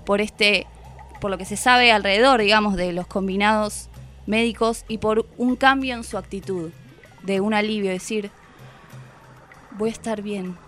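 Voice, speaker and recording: An Argentine woman speaks as a guest discussing a book, with music playing behind her. Her talk is unscripted and reflective, and she slips into quoted thoughts in a medium-pitched voice. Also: normal speed, fairly bright tone.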